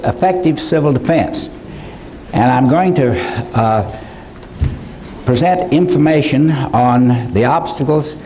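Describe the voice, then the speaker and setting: An older man giving a conference lecture, halting, with many fillers and restarts. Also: mid-range voice, a little mellow, a little hiss or hum, rough recording. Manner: slow, halting delivery with frequent fillers. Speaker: conference speaker. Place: conference hall.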